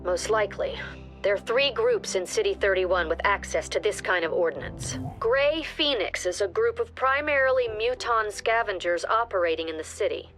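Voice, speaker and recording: A composed, authoritative director, voiced by a woman giving measured mission briefings in a game. Her voice sits at a middle pitch and stays businesslike throughout. Soft music plays under her. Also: expressive, close to an everyday voice, low-quality recording. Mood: calm.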